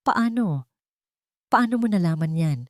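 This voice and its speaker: A woman's voice: mid-range voice, lively intonation, unhurried, no echo, studio quality, lightly acted. Woman voicing a bitter, cornered male doctor. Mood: shaken. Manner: bitter, emphatic, strained.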